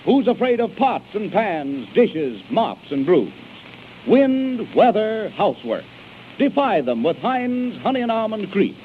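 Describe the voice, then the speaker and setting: Male 1930s radio pitchman, fairly high voice, polished declamatory sales read, thin vintage broadcast sound. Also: big pitch swings, unhurried. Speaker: radio announcer. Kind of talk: 1930s radio advertising and introduction. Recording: narrow-band hissy 1930s radio broadcast.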